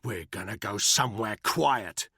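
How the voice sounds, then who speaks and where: A man's voice: on the low side, a little slow, sharp tone, husky, no echo, studio quality, voice pushed up, exaggerated voice. A man voicing a hard, furious soldier who growls with contempt. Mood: menacing.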